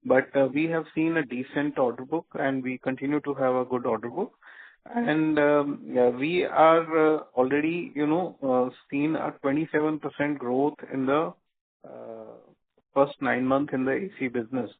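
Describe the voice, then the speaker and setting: A tinny, narrow phone line carries an Indian man's mid-pitched voice. He answers as a company executive on an earnings call, halting often and filling his pauses as he goes. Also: steady tone. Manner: hesitant, halting delivery with frequent filled pauses. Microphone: telephone conference line.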